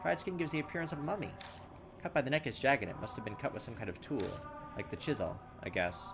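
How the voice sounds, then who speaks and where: Guy reading a horror game out loud, calls himself an idiot, game music going behind him. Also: mid-range voice, fast talker, creaky. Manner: self-mocking, thinks aloud, loses his way. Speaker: let's play gamer. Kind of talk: casual gameplay commentary, reading game text aloud.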